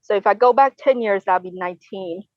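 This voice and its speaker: A woman's voice: medium pitch, a little fast, dry recording, dull audio. A woman in her twenties answering conversationally as an interview guest, often repeating and rephrasing her phrases. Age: 20s. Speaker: podcast guest, real estate investor.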